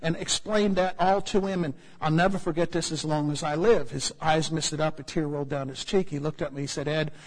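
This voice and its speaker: An American man preaching a sermon, telling a humorous story with lively asides to his congregation. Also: a little high, brisk, few pauses, in a large hall, rough recording. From United States.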